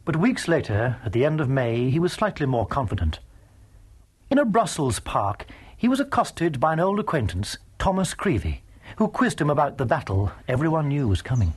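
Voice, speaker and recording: A man giving scripted, dramatic historical narration in a measured, emphatic manner with a husky voice. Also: medium pitch, very animated.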